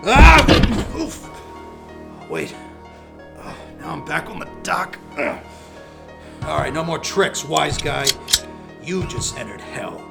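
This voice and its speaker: A man's voice: a little high, very animated, slow, creaky, pauses often, exaggerated voice. A man playing a smug, commanding gangster boss in an audio drama, with an imperious, businesslike manner. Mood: panicked. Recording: music behind the voice.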